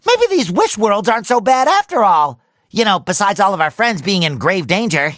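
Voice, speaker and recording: A man voicing a cheerful, naive, excitable young male cartoon sea sponge in a video game, with a nasal, squeaky, childlike and eager delivery. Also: normal speed, no room sound, studio quality. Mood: chatty, optimistic.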